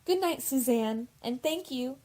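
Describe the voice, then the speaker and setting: Woman playing a high, lilting young lady, unhurried. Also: expressive, noisy background. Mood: sweet, contented. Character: sweet, vibrant young woman in love, gracious. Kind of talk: theatrical radio play acting.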